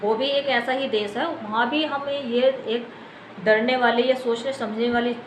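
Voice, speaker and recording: A woman's voice: a little high, steady tone, measured pace. A woman with an Indian accent giving unscripted news commentary, explaining things to viewers in a wordy, repetitive way. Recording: echoey room, clear background noise.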